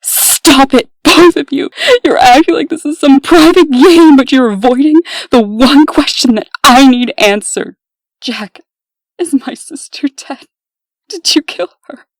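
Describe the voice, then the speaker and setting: A woman's voice: sits high, a little slow, no echo, low-quality recording. A woman playing a proud, earnest official who speaks formally and firmly, in a comic dub. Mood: distraught, angry. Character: proud, composed woman official, anxious for her sister. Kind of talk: comic dub dramatic acting.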